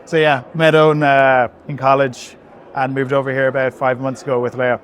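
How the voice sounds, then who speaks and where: A man's voice: mid-range voice, level tone, moderate pace, a bit bright. A young man from Ireland with an Irish accent, chatting casually as a guest founder in an interview. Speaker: podcast guest, startup founder. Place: indoor event venue, recorded in person.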